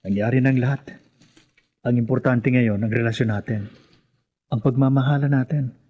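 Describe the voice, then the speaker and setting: A slightly dull recording carries a husky, mid-pitched voice: a man acting an earnest young sweetheart in a radio drama. He sounds protective, coaxing and reassuring. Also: steady tone, normal speed, lightly acted.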